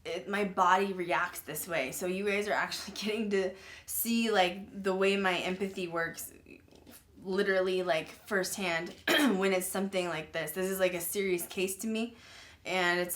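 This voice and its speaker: A woman hosting a paranormal podcast, retelling a grim case in casual, rambling talk full of "like" and "um", often clearing her throat as she says she is losing her voice. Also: mid-range voice, fairly bright tone. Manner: rambling, filler-heavy, with many "like" and "um"; uneasy and apologetic about the grim subject. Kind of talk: casual true-crime retelling to viewers.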